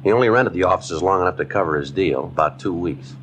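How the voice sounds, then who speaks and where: An actor playing a terse, matter-of-fact police detective in a 1950s radio drama, with flat, deadpan delivery. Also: male voice, low-pitched, quick pace, slight background noise. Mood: businesslike. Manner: deadpan, businesslike. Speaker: narrator and lead actor of a police series. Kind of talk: 1950s radio drama acting, scripted narration. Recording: 1950s radio recording with music.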